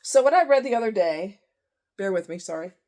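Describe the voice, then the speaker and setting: A woman's voice: mid-range voice, animated, fairly quick, darkish tone. A woman vlogger chatting casually to her audience in a rambling, conversational way with frequent asides. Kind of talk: casual chat to camera.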